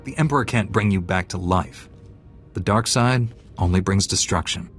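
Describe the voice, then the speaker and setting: An actor playing a righteous Jedi knight in a video game, firm, earnest and controlled. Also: male voice, sits low, normal speed, soft background music. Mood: defiant, firm. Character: resolute, righteous Jedi knight, male hero. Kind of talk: dramatic video game hero acting.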